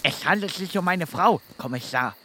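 Man playing bright, formal, put-upon policeman. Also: on the high side, expressive, moderate pace, slight background noise. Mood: dutiful, put-upon. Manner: formal, deferential. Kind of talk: comedic audio drama acting.

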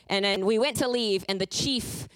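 A woman's voice: mid-range voice, moderate pace, clear, resonant tone, dry recording, rough recording. A woman preaching a fervent sermon, moving between emphatic declarations and a personal testimony told as a story. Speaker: guest preacher.

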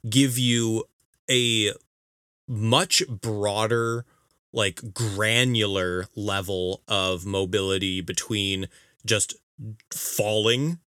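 A man co-hosting a casual video game podcast, talking emphatically with halting explanations and exasperated teasing. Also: on the low side, steady tone, pushed and bright, clear, resonant tone, dry recording, studio quality. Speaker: podcast co-host.

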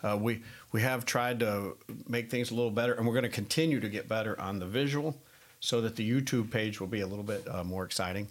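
A man's voice: fairly low pitch, quick pace. Chatty laughing male podcast host. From United States. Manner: chatty, laughs often, many fillers. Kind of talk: casual chat.

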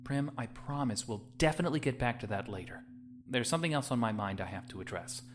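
A man's voice: mid-range voice, expressive, quick pace, a little hiss or hum, close to an everyday voice. A man playing a wary, protective young hero in a sci-fi audio drama, casual and skeptical.

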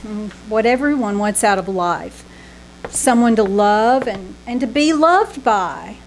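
A woman's voice: mid-range voice, expressive, a little slow, a little hiss or hum, poor audio. A woman acting a theatrical, melodramatic actress in the style of an old-time radio play, recorded live in a theater. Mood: wistful. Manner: florid, stagey, dramatic declamation. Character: theatrical, melodramatic actress, wistful underneath, playing wronged innocent.

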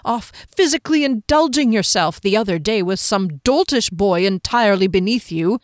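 A woman voicing a proud male fantasy captain in an audiobook, formal, deliberate and intense, holding down his anger. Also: mid-range voice, no room sound, studio quality, lightly acted. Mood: angry accusation.

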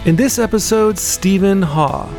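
A man's voice: high-pitched, measured pace, creaky, darkish tone. Guy hosting a podcast, upbeat and encouraging, faint music under it. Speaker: podcast host. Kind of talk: podcast interview.

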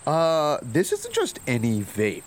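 A man's voice: on the high side, wildly swooping pitch, slow, a little hiss or hum, heavily acted. A man playing a cocky, slangy, boastful livestreamer in a sci-fi comedy audio drama. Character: obnoxious, boastful young male livestreamer and vape bro.

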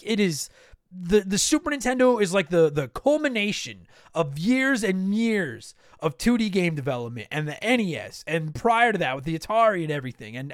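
A man hosting a podcast in a casual, joking, self-deprecating and blunt way, his voice slightly hoarse from a lot of talking. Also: sits high, fairly bright tone, no echo, clean studio sound. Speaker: podcast host. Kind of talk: casual solo podcast talk with promotional plugs.

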